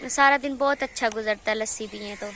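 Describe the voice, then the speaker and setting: A woman's voice: fairly high pitch, fairly quick, a bit bright, a little hiss or hum. A woman with a Pakistani Urdu accent, chatting cheerfully to viewers in a casual cooking vlog while she has a cold. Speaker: vlogger.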